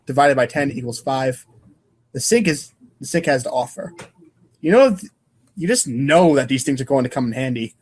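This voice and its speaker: A man's voice: medium pitch, darkish tone, breathy edge. A man reading comic narration aloud in a casual, irreverent way, stumbling over lines now and then. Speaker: podcast co-host and reader.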